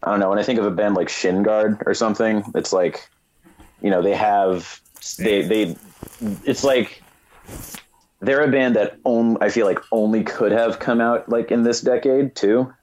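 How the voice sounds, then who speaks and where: A man's voice: lowish voice, normal speed, darkish tone, slight background noise, rough recording. A man in his early twenties co-hosting a casual call-in chat, rambling and filling his talk with "like" and "you know". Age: 20s. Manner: rambling, many fillers. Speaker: podcast co-host.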